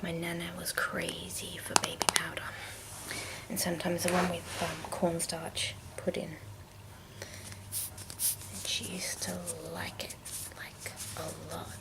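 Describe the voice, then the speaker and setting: Whispering woman, low and creaky. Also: little pitch movement, poor audio. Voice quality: breathy whisper with creaky edges. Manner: hushed, unhurried, halting with pauses. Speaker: ASMR video host. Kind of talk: ASMR makeup show-and-tell.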